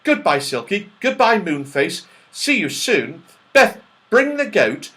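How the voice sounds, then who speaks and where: Man playing an eager, high-pitched storybook boy. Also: slow pace, full character voice. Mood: cheerful, brisk. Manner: urgent, excitable.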